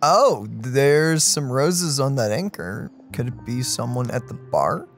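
Animated man chatting through a game. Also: medium pitch, takes it slowly. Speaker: video game streamer. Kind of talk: casual let's play chat. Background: faint game music.

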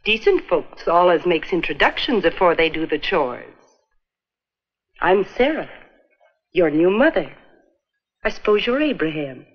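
An actress playing a kindly, brisk frontier stepmother in a 1950s educational film, gently chiding in folksy rural speech. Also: lowish voice, big pitch swings, breathy edge, heavily acted. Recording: muffled old 16mm film soundtrack.

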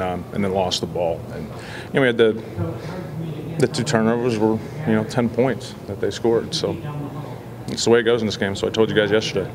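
A man in his forties, a football head coach, answering reporters after a loss in a measured, halting way with many fillers. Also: fairly low pitch. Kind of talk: post-game press scrum. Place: indoor press backdrop area. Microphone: several handheld reporter mics.

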